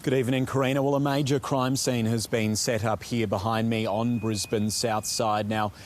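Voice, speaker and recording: Male Australian field reporter, live cross, mid-pitched, faint outdoor background noise. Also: level tone, moderate pace. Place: outdoors at a street scene.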